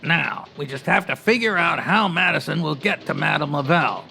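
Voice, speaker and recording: An actor playing a brusque, bossy city editor in a radio comedy, with clipped slangy talk and a gravelly, sharp voice. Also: male voice, on the high side, fairly quick, soft background music, heavily acted. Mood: brisk, scheming. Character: brusque, excitable male city newspaper editor. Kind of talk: old-time radio newsroom comedy acting.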